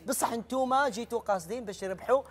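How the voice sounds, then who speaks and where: A man's voice: extremely high pitch, racing. A middle-aged man with an Algerian accent, hosting a TV game show on a big studio stage and teasing the contestants.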